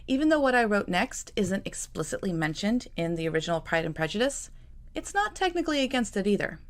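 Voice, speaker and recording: A woman author reading her own novel aloud in a calm, measured storytelling manner. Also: medium pitch.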